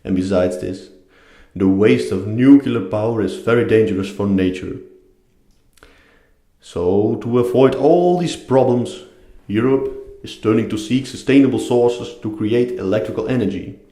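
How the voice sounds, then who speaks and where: A man's voice: fairly low pitch, measured pace, mellow. A man with a non-native English accent giving a scripted educational narration. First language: not English. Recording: echoey room.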